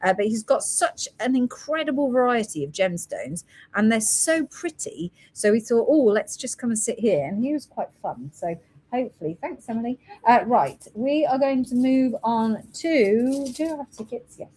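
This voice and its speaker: A woman hosts a casual live sales stream for gemstone beads. Her voice sits fairly high and moves up and down a lot as she chats. She keeps up a friendly patter, reading out stock codes and prices and thanking her helpers. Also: lively intonation, normal speed, warm tone, rough recording.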